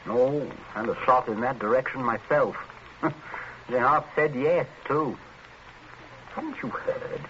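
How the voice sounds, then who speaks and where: An actor playing a breezy, blustering British captain with a clipped, hearty manner in a 1940s radio drama. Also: male voice, medium pitch, a little slow, pauses often, a bit bright, close to an everyday voice. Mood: wry, rueful. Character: breezy, blustering English captain, jilted neighbour. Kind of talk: 1940s radio thriller acting. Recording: muffled, hissy 1940s radio broadcast.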